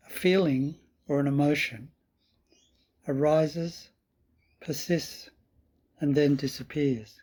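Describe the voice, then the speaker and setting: A man with a slightly husky voice guiding a meditation in calm, measured, deliberate phrases. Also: fairly high pitch, poor audio. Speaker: meditation teacher. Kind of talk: slow guided meditation.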